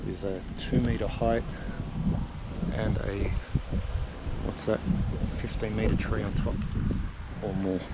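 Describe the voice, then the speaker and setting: A man's voice: on the low side, flat delivery, measured pace, pauses often. A man giving casual, musing commentary as he walks outdoors through rural countryside, filming on a handheld camera. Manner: chatty, muses aloud while exploring. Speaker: travel vlogger. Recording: outdoor camera audio with noise. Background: wind and outdoor ambience.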